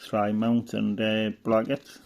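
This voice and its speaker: A man giving casual, unscripted hobbyist commentary, halting and muttering as he browses, often trailing off. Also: on the low side, completely flat delivery, gravelly, darkish tone. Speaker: hobbyist presenter.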